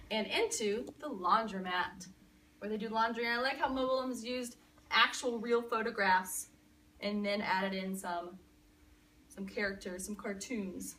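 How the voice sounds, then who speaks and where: A woman reading a picture book aloud to a young child in an expressive, dramatic way, pausing to chat and ask questions. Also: a little high, far from the mic. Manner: expressive, with dramatic gasps and emphasis.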